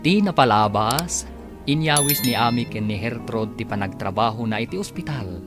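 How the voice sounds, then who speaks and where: A man's voice: mid-range voice, moderate pace. A man with a Filipino Ilocano accent delivering dramatic scripted narration as a radio drama announcer. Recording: music bed behind the voice. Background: music.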